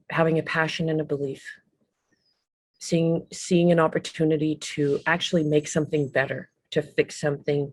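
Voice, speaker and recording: A middle-aged woman from Ireland, a guest telling her career story in a casual, animated way on a video call. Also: low voice, no echo. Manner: lively, animated storyteller with frequent ums and restarts. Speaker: guest, business executive. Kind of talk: casual interview talk.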